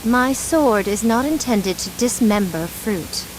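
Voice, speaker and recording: A woman's voice: fairly high pitch, expressive, slow pace, a little hiss or hum, poor audio. A woman voice-acting a proud, grave swordswoman in a video game, speaking in formal, archaic phrases. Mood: stoic.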